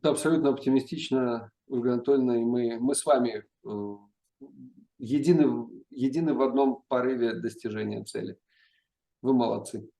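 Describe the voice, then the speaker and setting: A middle-aged man with a mellow, warm tone and an average pitch. He answers interview questions thoughtfully and carefully, often pausing and hesitating mid-sentence. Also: creaky, low-quality recording. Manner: measured, with frequent pauses and hesitations. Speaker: psychiatry professor, interview guest.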